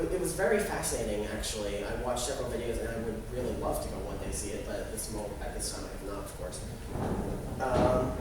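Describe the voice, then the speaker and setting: A young man giving an informal student presentation in a halting way, with false starts and repeated phrases. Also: on the low side, distant mic. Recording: very echoey, noisy room from afar.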